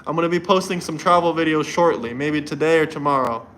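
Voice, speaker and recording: A man's voice: fairly high pitch, fast, a bit bright, a little hiss or hum. A young man from Florida hosting a casual livestream, chatting loosely and answering viewer comments, often repeating himself. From Florida, United States. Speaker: livestream host. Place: indoors in Montenegro.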